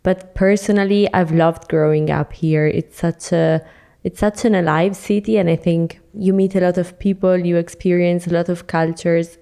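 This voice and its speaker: Milanese woman guest, low warm voice. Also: fairly even intonation, normal speed, smooth. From Milan, Italy. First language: Italian. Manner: laughs a lot, light and chatty. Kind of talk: casual interview chat.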